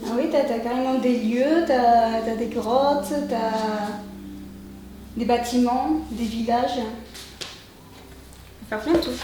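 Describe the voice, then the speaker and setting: A woman's voice: a little high, slow pace, long pauses. A woman casually chatting as host of an unboxing video, appreciative and often hesitant as she searches for words. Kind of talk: casual chat, showing items. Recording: roomy echo, music behind. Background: background music.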